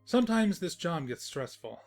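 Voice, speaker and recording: A man playing a teasing, sentimental, gentlemanly storybook narrator in a fan fiction audio drama. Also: a little high, very animated, normal speed, soft background music. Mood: sincere, courtly.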